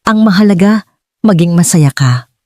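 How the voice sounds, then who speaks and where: A woman with a Filipino accent voicing an earnest middle-aged businessman father in an audiobook, speaking haltingly to his son. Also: lowish voice, expressive, darkish tone, dry recording, clean studio sound. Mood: gently supportive. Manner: halting, searching for words. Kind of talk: audiobook dialogue.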